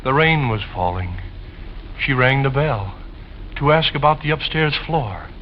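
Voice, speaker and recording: An actor playing a nervous, pleading, eerily obsessed widower with a halting, insinuating manner in an old-time radio suspense play. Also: male voice, mid-range voice, lively intonation, natural voice. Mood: eerie, insinuating. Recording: muffled, noisy vintage radio recording.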